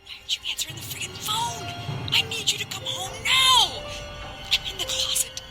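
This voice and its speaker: An actress as a frightened woman in hiding, pleading urgently in a horror radio drama. Her voice is extremely high, with music underneath. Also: animated, moderate pace. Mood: terrified, pleading. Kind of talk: horror audio drama acting.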